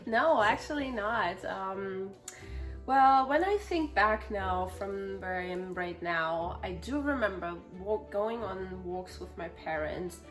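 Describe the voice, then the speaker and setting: A woman's voice: mid-range voice, slow pace, soft background music. A woman in her thirties from Germany, with a German accent, talking casually as an interview guest and now and then searching for words. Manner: chatty, laughs often, searches for words. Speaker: guest, nonprofit founder.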